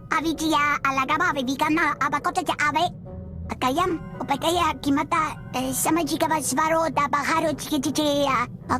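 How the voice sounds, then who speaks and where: A woman's voice: high voice, sharp tone. A woman voicing a small furry female alien warrior who babbles in an alien tongue for a video game. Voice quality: chattering alien gibberish speech. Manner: babbling, rapid singsong syllables. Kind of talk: video game cutscene acting.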